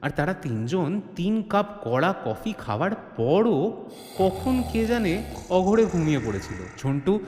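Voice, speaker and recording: A man's voice: sits high, very animated, measured pace, a little mellow, slight background noise. A man narrating a comedy-mystery story in a theatrical, suspenseful way, with touches of mock alarm. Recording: long hall reverb, music bed.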